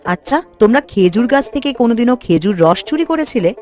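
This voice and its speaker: A woman playing an elderly village wife in a cartoon, chiding yet fond, with expressive delivery. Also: a little high, brisk, soft background music, natural voice. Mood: reflective. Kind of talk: cartoon dialogue.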